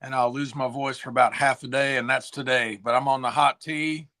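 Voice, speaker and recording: Hoarse, laid-back man. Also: mid-range voice, flat delivery, fairly quick, bright, forceful tone. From Tennessee. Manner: laid-back, slangy, says "man" and "dude". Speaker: musician guest. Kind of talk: casual chat. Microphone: video call.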